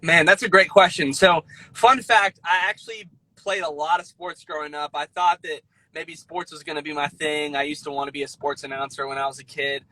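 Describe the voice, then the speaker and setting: A man's voice: a little high, steady tone, fairly quick, sharp tone, no echo, rough recording. A young man from the American Midwest chatting enthusiastically as an interview guest on a live video call. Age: young adult. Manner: upbeat, enthusiastic. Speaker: singer-songwriter guest. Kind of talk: casual interview chat.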